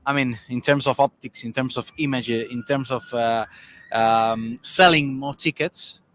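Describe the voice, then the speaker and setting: A man's voice: mid-range voice, fairly even intonation, a little slow, fairly bright tone, a little hiss or hum. Man casually analysing tennis results. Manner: rambling, many restarts and fillers. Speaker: tennis podcast co-host reporting on site.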